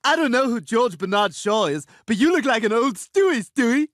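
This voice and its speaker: Dopey sweet cartoon toddler boy, folksy and slow, really eager to please, close dry sound. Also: very high voice, very animated, no echo. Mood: cheerful, eager. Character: sweet, simple-minded, eager-to-please baby boy clone. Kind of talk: animated comedy acting.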